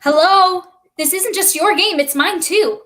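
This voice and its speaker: A woman performs a child in a staged play reading. The voice sits high, and the kid is restless and defiant, badly needing a playmate. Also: measured pace, fairly bright tone. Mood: desperate, pleading.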